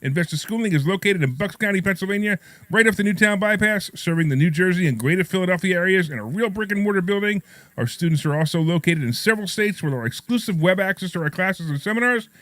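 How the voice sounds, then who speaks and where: A man's voice: high voice, expressive, quick pace, fairly bright tone, no echo. Middle-aged male radio host, New York accent, slight lisp; casual, self-mocking, rambling delivery, close dry studio sound. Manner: rambling, repetitive, self-deprecating joking. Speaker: radio talk show host. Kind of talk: casual, jokey talk radio chat and anecdotes.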